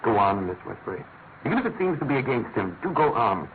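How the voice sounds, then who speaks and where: An actor plays a British male detective in a classic radio mystery, brisk, probing and sure of his authority. His pitch moves in lively swings through clipped, deliberate questions. The sound is narrow as a telephone, with a faint layer of noise. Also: lightly acted. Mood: probing, grave. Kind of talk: classic radio drama acting. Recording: noisy, narrow-band old radio recording.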